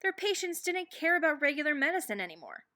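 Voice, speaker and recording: Lady reading a kids book out loud, really bright voice on the high side, dramatic pauses and punched words. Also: big pitch swings. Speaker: read-aloud narrator of a children's book. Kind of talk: expressive scripted storybook reading.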